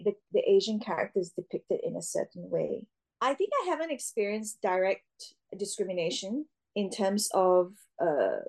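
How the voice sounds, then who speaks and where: A young woman actor answering interview questions, often pausing with fillers and restarting her sentences. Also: fairly low pitch, a little mellow. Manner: hesitant, many fillers and restarts. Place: Australia.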